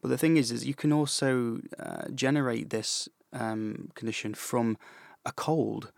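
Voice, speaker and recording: A man hosting his own podcast as a vocal coach, explaining things calmly with frequent fillers and restarts. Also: medium pitch, measured pace, vocal fry, no room sound, clean studio sound. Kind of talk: solo educational podcast talk.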